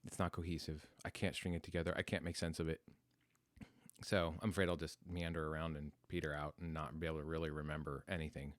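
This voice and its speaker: Very deep-voiced American man, reflective. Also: flat delivery, quick pace, dry recording. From United States. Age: 40s. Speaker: podcast host telling their life story. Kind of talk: reflective, unscripted personal storytelling.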